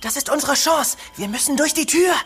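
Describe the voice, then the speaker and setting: Children's audio play: cautious, eager little toy leader, fairly high, noise behind. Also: female voice, lively intonation, brisk. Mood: urgent. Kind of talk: children's radio play acting.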